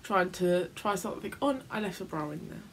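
A woman's voice: fairly low pitch, expressive, brisk. A young southeast English woman talking casually in a small bedroom. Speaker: vlogger making prank calls. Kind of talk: casual chat. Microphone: camera a short distance away. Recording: faint background room noise.

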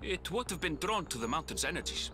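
Thoughtful high-voiced game scout, very expressive. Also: male voice, a little fast, over music. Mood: explanatory. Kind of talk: video game cutscene acting.

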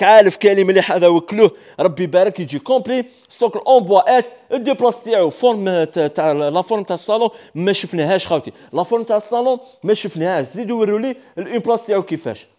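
Fast-talking Algerian salesman, high voice. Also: a little mellow. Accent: Algerian Arabic with French words. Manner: enthusiastic, rapid sales patter mixing French terms. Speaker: furniture showroom presenter. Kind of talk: sales walkthrough video. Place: large indoor furniture showroom with tiled floor.

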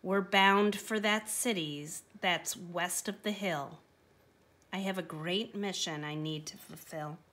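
A woman reads the part of a kindly, mysterious man in a children's storybook. The delivery is slow and calm, each rhymed line measured and reassuring. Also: lowish voice.

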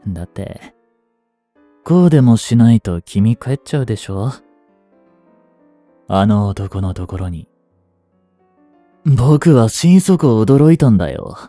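Guy playing jealous clingy young stalker dude, soft n brooding voice drama, slow talker, super close dry mic. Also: medium pitch, no echo. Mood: hurt, bitter. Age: 20s. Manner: soft, coaxing, brooding delivery with drawn-out pauses. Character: obsessive, jealous young male stalker, lonely and unstable. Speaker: voice actor. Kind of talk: intimate Japanese voice drama, addressed to the listener.

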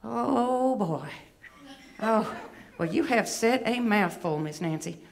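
A woman's voice: medium pitch, expressive, slow, gravelly. A woman voicing a vain, fussy, self-important female wombat puppet with a Southern American accent in a children's skit. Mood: humbled. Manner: dramatic, self-important, folksy endearments.

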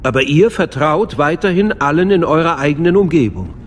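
An actor playing a dignified older chancellor in a radio play, with a formal, measured manner. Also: male voice, on the high side, big pitch swings, a little hiss or hum. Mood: displeased. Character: dignified, smooth-talking older male chancellor. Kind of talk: dramatic radio play acting.

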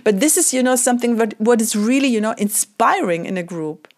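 German lady hosting an interview in english, higher voice, keeps saying you know while she hunts for words. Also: animated, brisk. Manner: searching for words, many fillers like "you know". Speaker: podcast host. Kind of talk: conversational interview.

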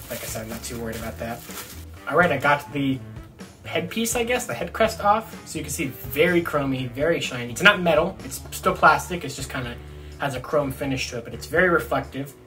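A man's voice: mid-range voice, animated, fast, sharp tone, soft background music. Young male vlogger, informal and enthusiastic, talking to camera in a small bedroom. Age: young adult. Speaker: toy review vlogger. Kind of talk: casual unboxing review to camera. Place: small dorm bedroom, indoors.

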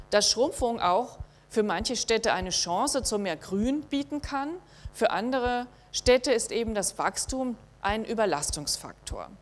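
A middle-aged woman giving a university lecture in a hall, measured and thinking aloud with frequent hesitations. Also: on the high side. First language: German. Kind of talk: academic lecture with slides.

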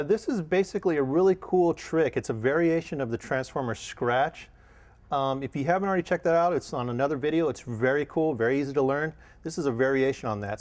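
A man's voice: medium pitch, slight background noise. A man in his thirties giving an informal, laid-back tutorial as a DJ demonstrator. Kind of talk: casual tutorial talk. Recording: music playing behind voice. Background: loud music and scratch loops.

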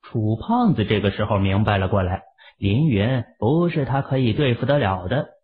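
A man with a lowish voice narrates a story in a dramatic audiobook style. The recording is narrow and phone-like. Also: lively intonation, moderate pace. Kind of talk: scripted dramatic narration of a novel.

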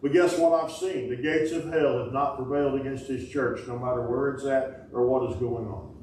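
A middle-aged man, preaching a sermon in a folksy call-and-response style, with sudden loud bursts. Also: mid-range voice, steady tone, moderate pace, a little hiss or hum. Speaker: pastor. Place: small wooden-walled church sanctuary. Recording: echoey church from a distance.

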